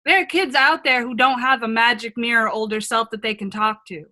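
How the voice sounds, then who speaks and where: A woman's voice: on the high side, fairly quick, pushed and bright. A woman playing a wise, frank older self from a magic mirror in a radio play, earnest and reassuring. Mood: encouraging, firm. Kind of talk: comedic radio play acting.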